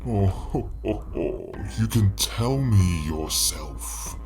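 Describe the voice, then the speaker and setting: A deep, slow cartoon villain, acted by a man ruling a robotic realm. He is smug and menacing, theatrically polite, with music playing clearly behind him. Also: animated, a little mellow, full character voice. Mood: sinister, mocking. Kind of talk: fan-dub cartoon villain acting.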